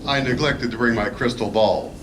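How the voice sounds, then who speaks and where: A man acts a composed heroic leader in an old-time radio play. He is measured and formal, at a middling pitch. Clear noise sits behind his voice on a live stage. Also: a bit bright, lightly acted. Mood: calm, commanding. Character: calm, authoritative heroic leader, dryly understated. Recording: noisy live convention stage.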